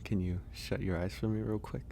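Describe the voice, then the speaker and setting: A man playing a gentle, caring werewolf in a soft, intimate ASMR audio roleplay, speaking hesitantly and reassuringly with frequent pauses. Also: sits low, steady tone, creaky, slight background noise. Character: gentle male werewolf, caring stranger nursing a guest.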